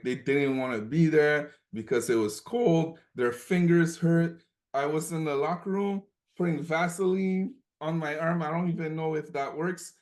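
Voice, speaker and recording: A man's voice: fairly high pitch, darkish tone. A young man from Cameroon sharing stories on a group coaching call, emphatic and repetitive, often checking in with "okay?". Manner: emphatic, repeats points, frequent "okay?" check-ins.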